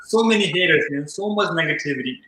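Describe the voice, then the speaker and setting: A man's voice: fairly high pitch, animated, fast talker, a crowd in the background. A teenage man hosting a casual live group chat, earnest and upbeat, talking about positivity with lots of "like" and "you know". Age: teens. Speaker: livestream host. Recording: very echoey room.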